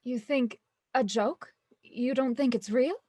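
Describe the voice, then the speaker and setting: An actress playing a timid young actor who voices a shaken heiress, speaking haltingly. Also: female voice, fairly high pitch, dark tone, no room sound. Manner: hesitant, halting. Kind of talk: audio drama acting. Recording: dry close studio sound.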